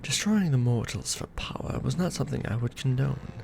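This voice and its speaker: A man voicing a weary, tender aristocratic vampire lord in an ASMR romance roleplay, murmuring softly and formally, close to the microphone. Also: medium pitch, animated, brisk, a little hiss or hum. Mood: brooding, bitter. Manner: soft, breathy murmuring, formal archaic phrasing. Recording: background music bed.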